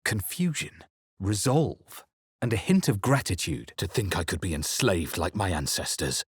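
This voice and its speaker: A brooding adventurer narrates a dark fantasy game in a very low, husky voice. The delivery is measured and grave, with dramatic pauses, recorded dry and close. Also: male voice, very animated, no echo, full character voice. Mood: grave, reflective. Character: brooding male adventurer narrating his own dark memories. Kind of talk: solemn first-person narration in a fantasy video game.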